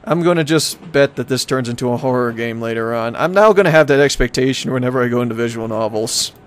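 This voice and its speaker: A man's voice: mid-range voice, quick pace. Casting: male let's player, storybook narration mixed with offhand wry asides, game music underneath. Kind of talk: reading visual novel aloud with casual commentary.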